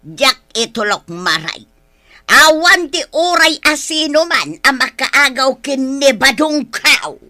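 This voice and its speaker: A woman acts out a jealous, gossipy wife in an Ilocano comedy drama. Her very high, bright voice swoops in slow, animated complaints. She has an Ilocano accent and a dry, close sound. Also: no echo, exaggerated voice. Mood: aggrieved. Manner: exclamatory, complaining. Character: gossipy, grumbling jealous village wife, confidante. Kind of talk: broad comic drama acting.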